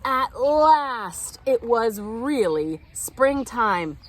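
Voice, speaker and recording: A woman reading a children's picture book aloud in an expressive, animated storytime style. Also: a little high, drawn-out words. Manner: animated, acts out the characters' lines. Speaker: storytime reader.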